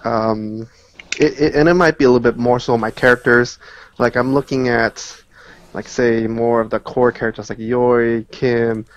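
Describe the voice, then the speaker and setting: A man chatting casually as a podcast guest, explaining things in a measured, hesitant way. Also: medium pitch, fairly even intonation, lo-fi sound. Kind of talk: casual chat.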